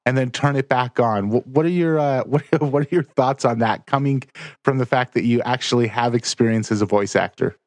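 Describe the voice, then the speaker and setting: A man's voice: medium pitch, brisk, no echo. An American man hosting a podcast, asking questions in a conversational way with frequent fillers. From United States. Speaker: podcast host. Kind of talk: casual interview questions.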